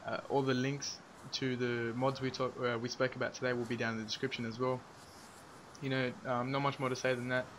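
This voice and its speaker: A man hosts a casual group podcast over an online call. His voice stays flat and even, loose with fillers and easy laughs. A clear hiss of noise and faint room sound sit under the line. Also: mid-range voice, a little fast. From Australia. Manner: laid-back, filler-heavy, laughs often. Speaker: podcast host.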